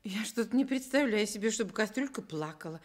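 A woman presenting a scripted Soviet children's radio programme, gently explaining things to small children as the grown-up host. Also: mid-range voice, expressive, a little fast, lightly acted.

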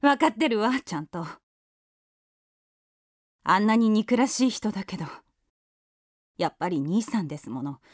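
A woman acting a fierce but soft-hearted younger sister in a radio drama. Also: mid-range voice, big pitch swings, moderate pace, long pauses. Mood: gentle, resigned.

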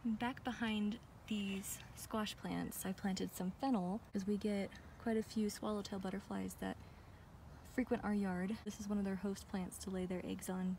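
A woman's voice: medium pitch, fairly even intonation, normal speed, a little mellow. Chatty American woman vlogging outdoors. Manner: chatty, enthusiastic. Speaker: vlogger giving a garden tour. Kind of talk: casual walk-through narration. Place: outdoors in a backyard garden. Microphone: handheld phone behind the camera. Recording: outdoor phone recording with ambient noise.

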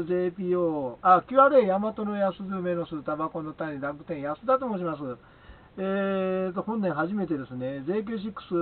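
A man working as an amateur radio operator, chatting politely in an unscripted radio contact. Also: high-pitched. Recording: thin narrowband radio audio, faint noise.

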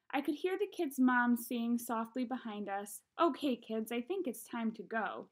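A young adult woman giving a storybook read-aloud, recorded in a room at home on a webcam at arm's length. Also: a little high. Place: small home room, indoors.

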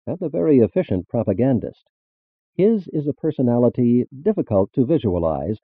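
A man with a low voice narrates a religious text formally. His intonation moves up and down a good deal. The sound is narrow and phone-like. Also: no echo. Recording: muffled phone-like band-limited audio.

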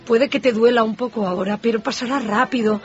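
Sad gentle young woman in a radio play, actress, music under it, bit muffled. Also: on the high side, fairly even intonation, a little fast, lightly acted. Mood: sad, resigned. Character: sorrowful, gentle young woman leaving her fiancé. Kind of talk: radio drama acting.

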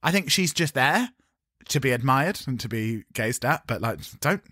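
A man's voice: medium pitch, moderate pace, no echo, clean studio sound. A man co-hosting a podcast, chatting casually and sarcastically with frequent swearing. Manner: animated, sarcastic, swears freely. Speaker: podcast co-host. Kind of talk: casual chat reviewing a TV show.

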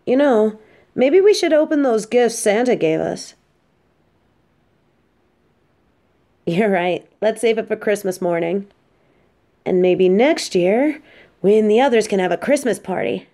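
A woman's voice: mid-range voice, lively intonation, moderate pace, warm tone, natural voice. A woman playing an affectionate, teasing supervillain girlfriend in an intimate roleplay audio drama, speaking tenderly and playfully. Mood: tender, content.

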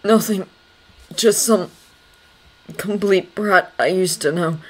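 A woman's voice: medium pitch, slow pace, rough recording. A woman reading the part of a grieving young male servant in an audiobook, cross and sarcastic, with curt British slang. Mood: bitter, upset.

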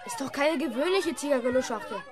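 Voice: sits high, fast, a crowd in the background, background noise, natural voice. An actor playing a cunning, persuasive young schoolboy trader in a 1970s children's radio play. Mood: persuasive, secretive. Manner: emphatic, persuasive.

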